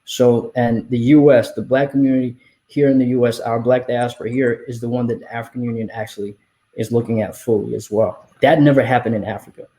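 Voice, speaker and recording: Mellow, dark-voiced man in conversation. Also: mid-range voice, steady tone, fairly quick. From Somalia. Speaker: panel guest. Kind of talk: casual panel discussion.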